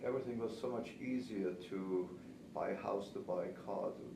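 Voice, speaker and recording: A man's voice: lowish voice, a little fast. A man in his seventies with an Austrian accent, a native German speaker, talking conversationally as a veteran pastry instructor answering interview questions. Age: 70s. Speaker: pastry chef and cake instructor. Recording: distant, roomy, noisy recording.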